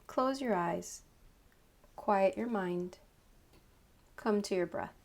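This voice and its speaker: A woman leading a guided meditation as a yoga nidra teacher, giving calm, unhurried instructions in short phrases with pauses. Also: mid-range voice.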